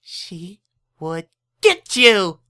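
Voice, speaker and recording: A high, girlish cartoon filly spins a spooky tale with theatrical relish. She is a bossy young pony who wants to be heard out. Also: big pitch swings, drawn-out words, bright, forceful tone, heavily acted. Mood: spooky, insistent.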